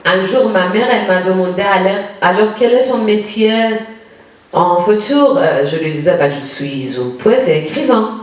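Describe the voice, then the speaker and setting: A woman from Iran tells her story with a Persian accent, pausing often as she hunts for the right words. Her voice sits in a mid range. The mic is well back, so she sounds distant in a very echoey lecture hall. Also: medium pitch, expressive. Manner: searching for words, many hesitations. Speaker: guest poet. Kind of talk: personal storytelling at a reading.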